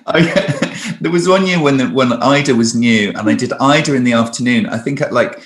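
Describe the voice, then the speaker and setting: A man, a stage performer, chatting in a conversational interview with lots of restarts and lively storytelling. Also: mid-range voice, moderate pace, roomy sound.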